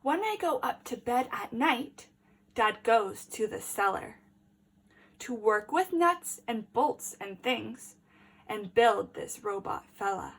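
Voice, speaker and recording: A woman teacher reading a picture book aloud to children in an animated, deliberate way, pausing to ask questions. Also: a little high, breathy edge, poor audio.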